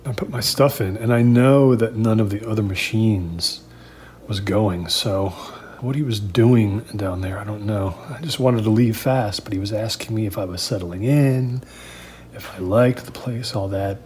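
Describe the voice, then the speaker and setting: Male horror audio-drama narrator, slightly deep, conversational and confiding, uneasy, hesitant; faintly noisy recording. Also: a little slow, a little mellow. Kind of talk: first-person diary-style horror narration, performed conversationally. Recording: clear background noise.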